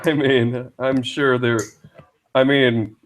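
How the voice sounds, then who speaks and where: A man chatting casually as a guest on a live stream, speaking in a hesitant, halting way. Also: mid-range voice, level tone. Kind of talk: casual chat.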